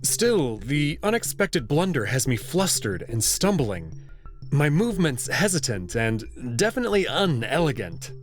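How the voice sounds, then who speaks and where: A man reading a visual novel aloud in the first person, expressive and prone to sudden outbursts. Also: a little high, unhurried, soft background music.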